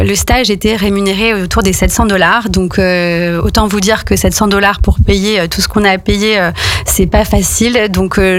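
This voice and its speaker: Hesitant young woman telling her story. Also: mid-range voice. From France. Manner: hesitant, many fillers and restarts. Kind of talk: casual spoken storytelling.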